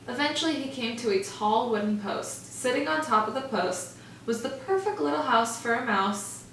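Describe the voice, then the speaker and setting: A woman teacher reading a picture book aloud to children in a gentle, expressive storytelling manner. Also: a little high, moderate pace, vocal fry, a little hiss or hum. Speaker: first grade teacher. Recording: echoey room, far from mic.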